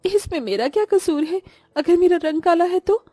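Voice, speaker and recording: A woman acts out a meek young daughter from a cartoon tale. Her voice is high and pleading, apologetic, with faint music behind it. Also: expressive, moderate pace, mellow, no echo. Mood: tearful pleading. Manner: earnest, pleading. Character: apologetic, hurt 25-year-old daughter, eager cook.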